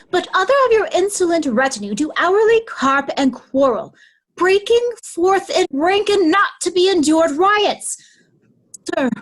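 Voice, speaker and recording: Woman playing snooty royal daughter in shakespeare, really high pitched, slow and reproving. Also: exaggerated voice, low-quality recording. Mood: reproachful. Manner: formal, reproving. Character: haughty royal daughter scolding her aged father. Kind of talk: Shakespearean stage reading.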